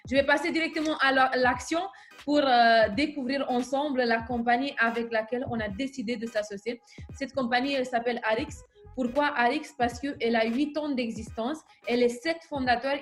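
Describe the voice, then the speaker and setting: A woman in her twenties from Romania giving a sales presentation, eager and fluent but with frequent hesitations. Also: on the high side. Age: 20s. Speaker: network marketing presenter.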